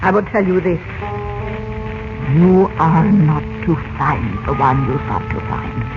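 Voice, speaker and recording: Timid wife, lilting pitch. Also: female voice, lively intonation. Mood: hesitant, secretive. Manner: halting, careful, formal broken English. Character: timid, gentle foreign young wife, hesitant confidante. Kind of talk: 1940s radio adventure serial acting. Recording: muffled old-radio sound, music behind.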